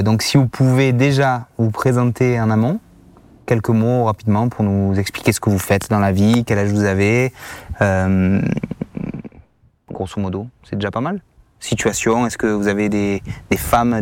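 A man's voice: on the low side, darkish tone. A man hosts a casual podcast interview outdoors, often hesitating and repeating words as he searches for phrasing. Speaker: podcast host. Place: outdoors in the sun.

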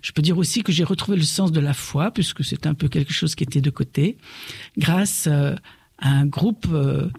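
An older woman from Lorraine with a gravelly, husky voice, speaking as a nun being interviewed. She talks gently and reflectively, often pausing and restarting her sentences. The sound is close and dry. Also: sits low, no echo. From Lorraine, eastern France. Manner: gentle, searching for words with frequent hesitations and restarts. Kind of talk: reflective personal testimony.

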